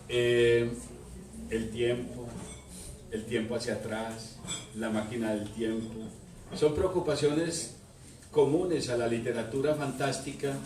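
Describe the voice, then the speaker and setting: A man, moderating a literary talk and asking the author questions in a measured, thoughtful way. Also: medium pitch. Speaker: moderator at book launch. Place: bookstore event room. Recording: echoey room from far off with faint noise.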